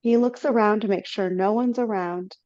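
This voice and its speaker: A woman's voice: mid-range voice, normal speed, darkish tone, dry recording. A woman narrating stage directions in a casual way for a live reading over a video call, with slips and self-corrections. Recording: dull lo-fi audio.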